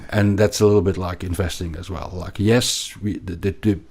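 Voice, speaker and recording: Low-voiced man with a Belgian accent. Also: fairly even intonation, darkish tone, husky. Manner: halting, repeats words, emphatic. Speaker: podcast guest, investment analyst. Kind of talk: conversational explanation in an interview.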